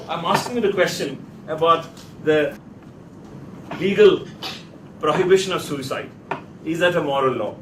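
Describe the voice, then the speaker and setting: A man teaching a class in a deliberate, emphatic way, putting probing questions to his students. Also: a little high. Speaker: teacher leading a workshop class. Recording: echoey, noisy room, distant mic.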